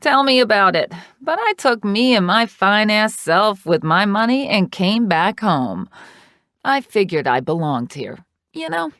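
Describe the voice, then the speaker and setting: A woman voicing a brash, sassy woman back from abroad, speaking brightly and boldly. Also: medium pitch, big pitch swings, measured pace, no echo, clean studio sound, voice pushed up.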